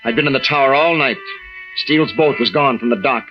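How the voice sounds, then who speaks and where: An actor playing a tense, fearful lighthouse keeper and husband in an old-time radio drama, snappish and evasive. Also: male voice, mid-range voice, brisk, natural voice. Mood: haunted, brooding. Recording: muffled old radio recording with music.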